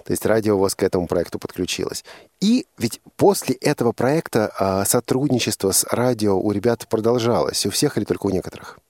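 A man's voice: on the low side, expressive, fairly quick, darkish tone, no echo. A man hosting a live radio talk show from a studio, putting questions and linking segments. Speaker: radio host.

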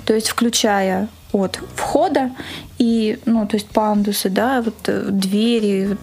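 A woman's voice: mid-range voice, steady tone, slow pace, creaky, darkish tone. A woman speaking as a talkative guest in a conversational radio interview, explaining things in detail.

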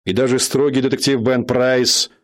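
A man narrating a radio drama in a measured, dramatic storytelling manner. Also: medium pitch, little pitch movement.

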